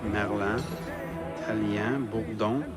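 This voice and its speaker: A man's voice: on the low side, steady tone, takes it slowly. An actor playing a wry, determined young male assassin in a video game cutscene, speaking in a terse, understated way. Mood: intent. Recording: loud music and crowd behind.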